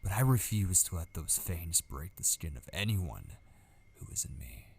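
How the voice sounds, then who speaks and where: A man acting as an obsessive, menacing male kidnapper in an intimate ASMR roleplay, slow and taunting, often dropping into whispers. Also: deep voice, sing-song, gravelly, darkish tone, a little hiss or hum, heavily acted. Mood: jealous, possessive.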